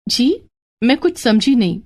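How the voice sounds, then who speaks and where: A woman voicing a gentle, polite young village woman in a storybook drama, speaking earnestly. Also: a little high, big pitch swings, measured pace, pitched above the performer's own voice, full character voice. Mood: puzzled, shaken.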